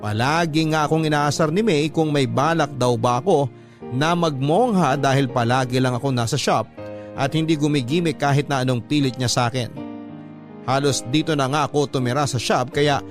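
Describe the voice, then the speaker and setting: A man's voice: mid-range voice, moderate pace. Male storyteller, Filipino accent, mid-range voice, radio-style love-letter narration over a light music bed.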